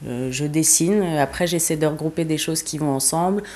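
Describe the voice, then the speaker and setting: A young woman with a notably low-pitched voice, answering interview questions in a casual, meandering way. She hesitates often, restarts her sentences and pads them with fillers. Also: fairly quick, a little mellow. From eastern France. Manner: hesitant, many fillers, laughs easily. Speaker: fashion designer guest.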